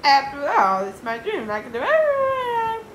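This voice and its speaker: Woman doing a high, dazed, giddy office lady. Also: huge pitch swings, moderate pace, reverberant, noisy background. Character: dazed, giddy female office worker, dreaming.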